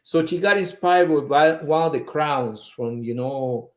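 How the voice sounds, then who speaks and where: A man's voice: a little high, measured pace, a little mellow. A man, speaking casually as a guest. He talks, often searches for words and uses many fillers. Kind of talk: casual conversation. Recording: echoey room.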